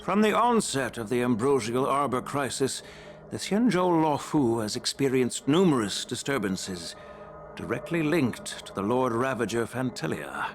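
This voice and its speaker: An elderly, wise general from a fantasy game. His delivery is grave and eloquent, with an animated melodic range and measured formality. Music plays behind him. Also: mid-range voice. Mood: grave, reflective. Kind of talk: formal scripted game dialogue.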